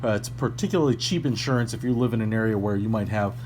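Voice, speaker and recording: A man's voice: on the low side, quick pace, a little mellow. A man giving a casual, ad-libbed product review in an informal, chatty way with a bit of slang. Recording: clearly noisy recording with hum.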